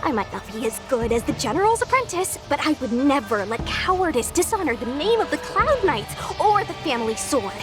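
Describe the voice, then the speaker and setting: A woman's voice: high-pitched, big pitch swings, brisk, few pauses, music in the background. A woman playing a brave, earnest young female knight in a video game, spirited and concerned. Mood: indignant, earnest. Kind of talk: video game cutscene acting.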